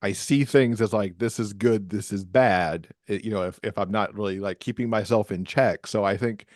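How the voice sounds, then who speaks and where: A man's voice: fairly low pitch, normal speed, darkish tone, no room sound, studio quality. A man speaking as a podcast guest and author in casual conversation. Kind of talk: casual chat.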